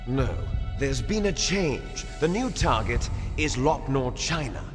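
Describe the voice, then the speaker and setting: Swooping, smug terrorist mastermind. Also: male voice, mid-range voice, expressive, slow pace. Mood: commanding. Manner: lecturing, menacing. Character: calculating male terrorist leader, smug, commanding, coldly confident. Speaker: villain boss. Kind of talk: video game voice acting. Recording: long hall reverb, music behind.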